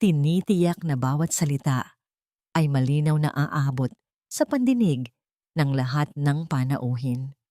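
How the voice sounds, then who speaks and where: A woman with a Filipino accent narrating a scripted story in a measured, formal and dramatic way. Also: low voice, expressive, mellow, dry recording.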